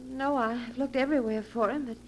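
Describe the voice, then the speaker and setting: A high-voiced young woman, an actress in role plays the dutiful niece. She is polite, coaxing and firmly insistent in a 1940s radio mystery manner. Faint vintage broadcast noise sits behind her. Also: fairly even intonation, a little fast, a little mellow, close to an everyday voice, poor audio. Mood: coaxing, concerned. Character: solicitous, firm niece minding her elderly aunts.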